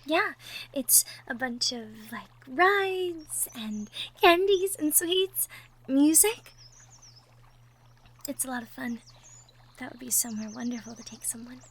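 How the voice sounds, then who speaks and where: A woman playing a shy, sweet young cottagecore woman in love, speaking softly and hesitantly in an intimate roleplay audio drama. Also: high-pitched, lively intonation, takes it slowly, pauses often, breathy edge, heavily acted. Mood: encouraging, tender. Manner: hesitant, bashful, soft-spoken, trailing off. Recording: faint background noise, birdsong effects. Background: birdsong, outdoor nature sound effects.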